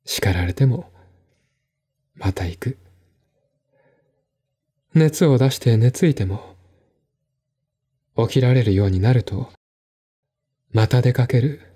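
A man voicing a melancholy, earnest, troubled gentleman who confides and pleads, in a one-man radio drama reading. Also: medium pitch, slow, pauses often, slightly rough. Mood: troubled pleading.

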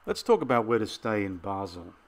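A man's voice: fairly low pitch, sing-song, brisk, soft background music. A middle-aged Australian man hosting a travel vlog, casually giving practical tips.

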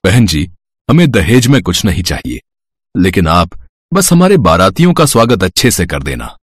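Man playing a deep-voiced, courteous groom's father. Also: sing-song, normal speed, slight background noise.

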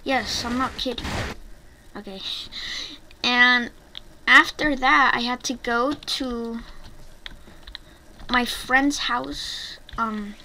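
A female-sounding gaming YouTuber giving casual gameplay commentary in a rambling, hesitant way. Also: medium pitch. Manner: rambling, hesitant, halting delivery. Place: home bedroom, voice over gameplay. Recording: noisy home mic with keyboard clicks.

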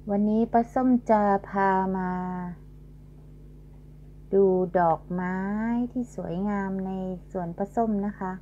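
Gentle middle-aged woman touring her garden. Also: mid-range voice, slow pace. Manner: gentle, explanatory, polite. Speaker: garden channel host. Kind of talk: casual garden tour narration. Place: outdoor garden. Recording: dull lo-fi sound with faint hum.

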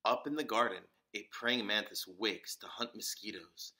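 A man reading a children's picture book aloud as a storyteller, with deliberate, dramatic emphasis. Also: fairly low pitch, bright, forceful tone. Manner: deliberate, dramatic emphasis with occasional whispers and exclamations.

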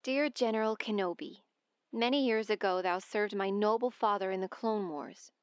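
A woman's voice: medium pitch, normal speed, no echo, close to an everyday voice. A woman playing a noble young princess, formal and earnestly pleading, in a Shakespearean dramatic reading.

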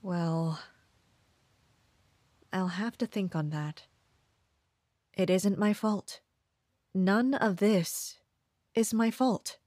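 A woman playing a guarded, distrustful commander of mercenaries in an audio drama, measured and introspective. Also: mid-range voice, mellow, dry recording, studio quality. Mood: determined. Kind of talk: audio drama acting with inner monologue.

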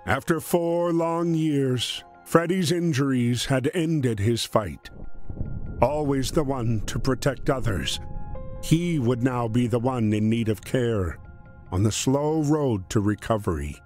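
A man's voice: medium pitch, takes it slowly, darkish tone. A man giving solemn, scripted storybook narration for a war story game. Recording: music behind the voice. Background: music.

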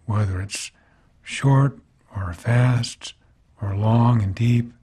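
Husky-voiced man guiding a meditation. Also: medium pitch. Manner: calm, unhurried, gently instructive, pausing between phrases. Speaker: meditation teacher. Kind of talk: guided body scan meditation narration.

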